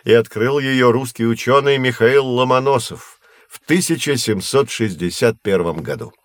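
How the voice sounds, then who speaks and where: An actor playing a patient, knowledgeable astronomy professor who explains things in a measured, didactic way in an educational audio drama. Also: male voice, medium pitch, dry recording, natural voice. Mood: instructive. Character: male astronomy professor, patient, knowledgeable teacher of curious children.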